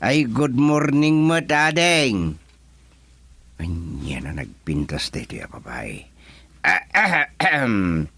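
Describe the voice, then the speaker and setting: A man's voice: mid-range voice, huge pitch swings, slow pace, gravelly, a bit bright, heavily acted. A man acting an elderly, folksy, easily flustered village chairman in an Ilocano radio comedy drama, muttering and humming with an Ilocano Filipino accent. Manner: muttering to himself with hums and exclamations.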